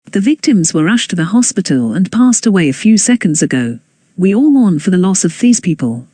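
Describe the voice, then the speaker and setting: An announcer reading scripted emergency news bulletins in a formal, measured way. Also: medium pitch, big pitch swings, dark tone, slightly rough, no echo.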